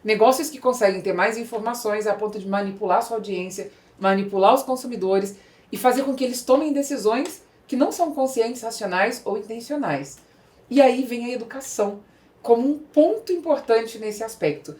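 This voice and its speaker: A woman's voice: a little high, moderate pace, darkish tone. A Brazilian woman hosting a conversational podcast interview, speaking emphatically and repeating phrases for effect. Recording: echoey room.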